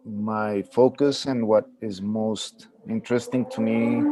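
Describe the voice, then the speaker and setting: A man introducing himself on an online panel over a video call, thoughtful and measured. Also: fairly low pitch, a little mellow, no room sound. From Colombia. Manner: thoughtful, measured, hesitant fillers.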